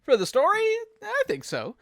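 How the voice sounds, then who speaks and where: A high-voiced man co-hosts an animated-episode commentary. His intonation swings widely, and he banters in a chatty, animated manner over quiet music. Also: moderate pace, creaky, no room sound. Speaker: co-host of fan commentary video.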